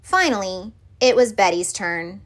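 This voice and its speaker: Expressive, bright-voiced woman reading a storybook. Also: medium pitch. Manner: expressive, deliberate phrasing for young listeners. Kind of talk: children's picture book read-aloud.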